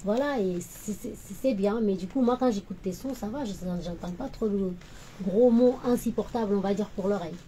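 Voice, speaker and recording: A woman's voice: mid-range voice, normal speed, dark tone, slight background noise, poor audio. A woman hosting an interview, giving short encouraging prompts and follow-up questions. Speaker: interviewer host.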